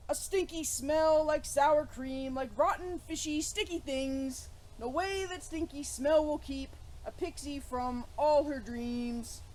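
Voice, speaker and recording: A woman's voice: high voice, slow pace, few pauses, a little mellow, pitched above the performer's own voice, poor audio. A woman voicing a small young storybook pixie girl, timid but determined to be brave, in a childlike, exclamatory manner. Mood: brave, singing.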